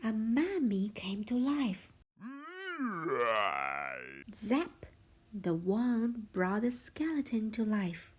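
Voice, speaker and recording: A woman reading a children's storybook aloud as a parent would, expressively, with a hushed sense of suspense. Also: on the high side, expressive, very slow, long pauses, husky.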